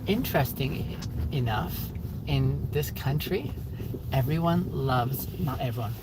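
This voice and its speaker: A man's voice: medium pitch, lively intonation, measured pace, heavy background noise. A man from Ethiopia chatting casually in a car as a rideshare driver and livestream host, using simple, short, reassuring phrases. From Ethiopia, moved to Canada at age eight. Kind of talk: casual chat with a passenger. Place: inside a car. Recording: music behind, inside a car.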